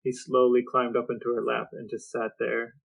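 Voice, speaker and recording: A man's voice: mid-range voice, monotone, moderate pace, gravelly, fairly bright tone. A man co-hosting a podcast, chatting casually and posing questions to the people with him. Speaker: podcast co-host.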